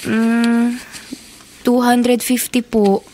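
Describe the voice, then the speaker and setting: A dutiful young Filipino daughter in a radio drama. Her voice is fairly high, respectful and earnest, with faint noise behind it. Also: level tone, drawn-out words, mellow, rough recording. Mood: polite, earnest.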